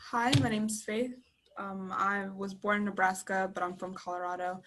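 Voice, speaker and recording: A woman's voice: medium pitch, level tone, moderate pace, noisy background. A young woman, a college student, introducing herself in an online class. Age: young adult.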